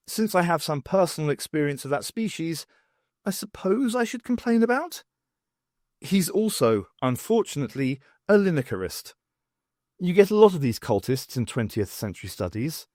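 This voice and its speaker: A man reading a first-person story aloud as an expressive audiobook narrator, with wry, dramatic, emphatic phrasing. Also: sits high, moderate pace, no room sound, clean studio sound. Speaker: audiobook reader.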